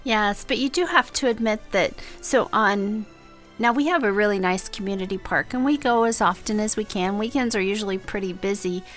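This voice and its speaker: Calm woman on a podcast, mid-pitched, just chatting sincerely. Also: normal speed, soft background music. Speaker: podcast co-host. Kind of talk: casual chat.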